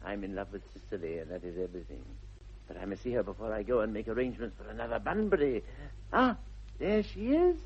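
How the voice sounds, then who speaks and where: A man playing a charming, flippant young upper-class English gentleman with an airy theatrical manner in a radio comedy. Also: fairly low pitch, sing-song, fast, creaky, breathy edge, exaggerated voice. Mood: romantic. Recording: dull 1960s radio recording.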